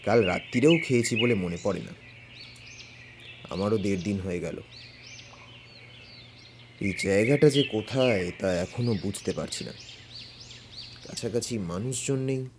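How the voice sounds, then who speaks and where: An actor playing a brave, grave older detective uncle in an audio story, speaking with protective authority. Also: male voice, lowish voice, unhurried, pauses often, noisy background, close to an everyday voice. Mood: weary, wary. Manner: urgent, authoritative, reassuring. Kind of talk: Bengali audio story acting.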